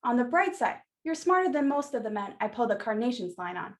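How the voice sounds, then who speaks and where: A high-pitched actress as a female shopkeeper in a radio play. She is blunt and sassy, with a brisk, wry, no-nonsense way of talking to customers. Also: big pitch swings. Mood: advising.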